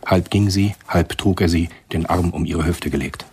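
A man narrating a spy thriller radio drama in a measured, literary storytelling manner. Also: low voice, a little mellow, a little hiss or hum. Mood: grave, measured.

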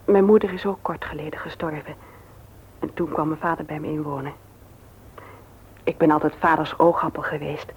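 Actress as a candid widow, muffled old radio recording. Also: female voice, mid-range voice, a little hiss or hum, close to an everyday voice. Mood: confiding. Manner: talkative, confiding, forthright. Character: candid, chatty widowed mother, frank about her feelings. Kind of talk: naturalistic radio drama acting.

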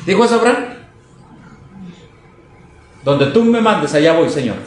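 A man's voice: on the high side, lively intonation, measured pace, faint music behind. A man preaching to a congregation, exhorting with rhetorical questions, reading quotations and commenting on them. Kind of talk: fervent sermon to a congregation, reading quotes and commenting. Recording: echoey room, noisy background.

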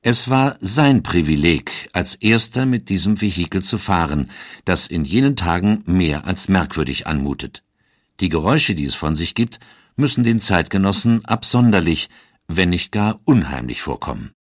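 A man reading scripted documentary narration in a measured, storytelling manner. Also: low voice, expressive, no room sound, studio quality. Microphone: studio microphone.

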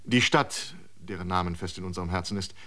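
A man's voice: low-pitched, a little fast, sharp tone. A man playing an officious, prying airfield manager in a science fiction radio drama, clipped, tacking on little questioning interjections. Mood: officious, probing.

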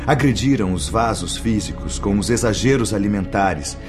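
An actor playing a benevolent spirit mentor in a radio drama, solemn, formal and instructive in manner. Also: male voice, lowish voice, expressive, moderate pace, over music, natural voice. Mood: grave, admonishing.

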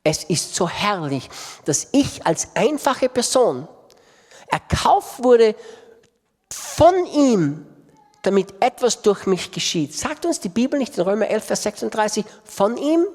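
A man's voice: sits high, very animated, a little slow, darkish tone. A man preaching a sermon with impassioned delivery and emphatic repetition. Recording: long reverb of a large hall.

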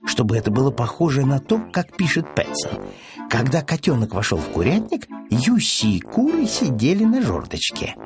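A man's voice: medium pitch, big pitch swings, moderate pace, over music. A man reading a children's story audiobook as its narrator.

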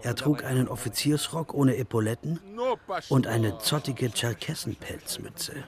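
An actor playing a traveling writer who narrates his journey in a measured, descriptive way in a radio drama. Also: mid-range voice, crowd noise, lightly acted. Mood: calm, observant.

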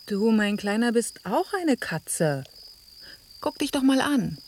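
An actress playing a sensible, sardonic mother cat, dry, well-spoken and gently chiding, in a radio drama. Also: on the high side, big pitch swings, slow pace, slight background noise. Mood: soothing. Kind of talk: German radio drama acting.

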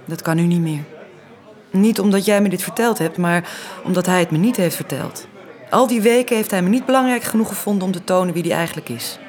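A woman's voice: fairly low pitch, a little fast, darkish tone, natural voice. An actress playing an earnest, direct, sensitive young woman in intimate radio play dialogue.

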